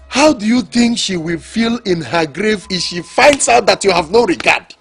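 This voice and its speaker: An actor with a Nigerian accent playing a disappointed friend who scolds and lectures the widower. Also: male voice, on the low side. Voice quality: raspy, scolding.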